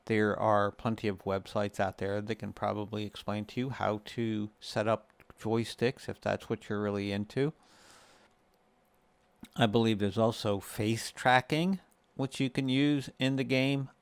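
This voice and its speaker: A man with a smooth, clear voice narrates a casual gaming tutorial. He speaks very slowly and explains things in measured phrases. The sound is dry and close, with no room to it. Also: fairly low pitch, fairly even intonation, dry recording. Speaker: host of a gaming guide video series.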